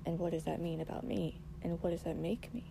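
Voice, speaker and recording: A woman hosting a personal podcast while losing her voice; she talks softly and haltingly, raspy and hoarse, with long pauses. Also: lowish voice, fairly even intonation, background noise. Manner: soft-spoken, halting, reflective, many pauses. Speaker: podcast host. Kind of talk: personal solo podcast monologue.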